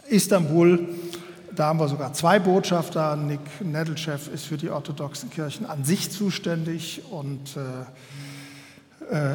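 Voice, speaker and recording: A German man giving a public lecture to an audience, in a measured and earnest way, often pausing to find his words. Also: a little high, a little mellow. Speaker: guest speaker. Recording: long hall reverb.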